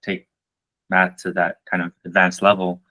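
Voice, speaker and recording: A man's voice: low voice, monotone, normal speed, sharp tone. A man hosting an interview, asking open questions and thinking aloud as he goes. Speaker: podcast host.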